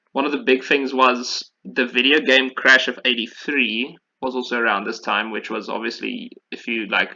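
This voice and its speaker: A man's voice: mid-range voice, sharp tone, lo-fi sound. A man hosting a casual discussion, explaining things in a rambling, informal way. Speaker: podcast host. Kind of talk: casual video game history discussion.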